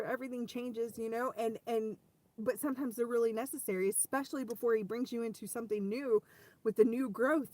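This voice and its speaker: A woman hosting a casual faith talk for her viewers, her voice hoarse and wearing out, rambling with frequent restarts. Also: fairly high pitch, darkish tone. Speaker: ministry host speaking to viewers.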